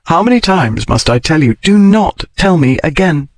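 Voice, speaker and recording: A man voicing a blunt, logical lady of a bygone era, speaking in crisp, formal phrases for an audiobook. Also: very animated, moderate pace. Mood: brisk. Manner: crisp, formal period speech rising in pitch. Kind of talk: audiobook character reading.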